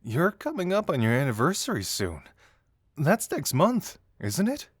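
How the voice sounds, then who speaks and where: A man's voice: fairly high pitch, huge pitch swings, a little slow, breathy edge, no room sound, clean studio sound, heavily acted. A man acting a playful, teasing young male detective in an audio roleplay, musing theatrically to the listener. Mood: teasing, intrigued. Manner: teasing, theatrical, musing hums and soft chuckles.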